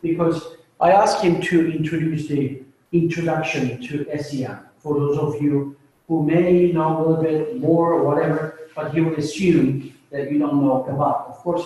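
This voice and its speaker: Male organizer, casual intro, slightly high, roomy sound picked up from a distance. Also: dark tone. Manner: loose, repeats and corrects himself. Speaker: session organizer introducing speaker. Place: lecture room with tiered seats. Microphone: far room mic. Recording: echoey room from far off.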